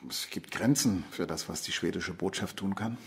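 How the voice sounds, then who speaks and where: A man's voice: sits low, normal speed. An actor playing a matter-of-fact male police colleague in a radio crime drama.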